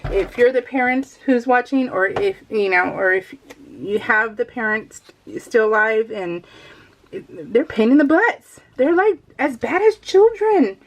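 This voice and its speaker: A middle-aged woman chatting casually and informally as she narrates a craft vlog, telling stories in an animated, giggly way. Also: fairly high pitch, measured pace. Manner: giggly, animated storytelling with quoted exchanges. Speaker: craft vlogger.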